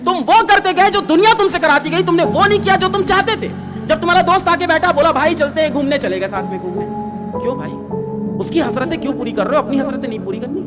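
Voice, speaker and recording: A woman's voice: high voice, fast. A woman giving a motivational lecture in a scolding manner, with rhetorical questions and repeated emphasis. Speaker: motivational teacher. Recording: loud music over the voice. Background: loud music.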